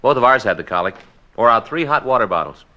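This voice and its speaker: An actor playing a homely, easygoing police detective partner with an American accent in 1950s radio drama style. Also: male voice, mid-range voice, expressive, fast, bright, forceful tone, dull audio. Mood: chatty. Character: homely, chatty police detective sidekick, a family man. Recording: noisy vintage 1950s radio recording.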